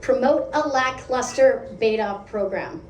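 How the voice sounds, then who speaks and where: A woman's voice: fairly high pitch, measured pace. American woman speaking in an echoey hall. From United States. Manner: lively, conversational, asks the audience questions. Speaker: product lead giving a meetup talk. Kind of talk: live conference talk. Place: event hall. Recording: echoey hall, distant mic, faint hum.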